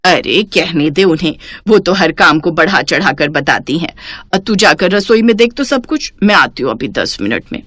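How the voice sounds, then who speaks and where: A sluggish, middle-aged mother-in-law from a cartoon moral tale, acted out by a woman. She grumbles, makes excuses and gives orders defensively, with a lively, swooping delivery in a dry recording. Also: fairly low pitch, moderate pace, dry recording, pitched below the performer's own voice. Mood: dismissive. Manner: excuse-making, grumbling, bossy. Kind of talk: Hindi cartoon moral story acting.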